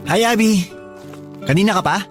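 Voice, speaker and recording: A young man voicing a smooth-talking, manipulative suitor in a radio love story. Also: high voice, normal speed, a bit bright, soft background music. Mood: charming. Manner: charming at first, then demanding. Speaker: suitor Dennis. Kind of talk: radio drama acting.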